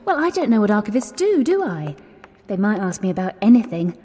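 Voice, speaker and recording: A woman's voice: medium pitch, sing-song, fairly quick, mellow, breathy edge. An actress playing an anxious, earnest young Englishwoman in a period radio drama, with an English accent and a nervous, questioning manner. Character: anxious, earnest, self-taught young working-class Englishwoman, Agnes. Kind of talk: 1920s-set radio drama acting. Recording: reverberant hall-like space.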